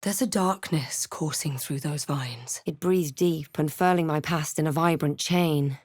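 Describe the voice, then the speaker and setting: An actress playing a thoughtful, wary adventurer and spellcaster in a fantasy video game, speaking in a measured, deliberate way with dry snark. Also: female voice, low-pitched, darkish tone, no room sound. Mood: grave, reflective. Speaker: video game player character. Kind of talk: scripted game voice lines, narration and barks.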